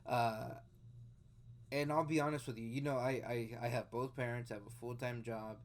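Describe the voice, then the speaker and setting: A man's voice: mid-range voice, flat delivery, normal speed. A man in his late twenties talking through a news story in casual, rambling commentary, full of fillers and restarts. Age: 20s. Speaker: video host reacting to news.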